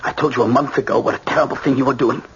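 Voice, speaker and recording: An actor playing a bitter, obsessive young American son, curt and accusing, in an old-time radio drama. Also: male voice, mid-range voice, steady tone, racing, breathy edge. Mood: accusing, menacing. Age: young adult. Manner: intense, curt, insistent. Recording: dull old radio broadcast with hiss.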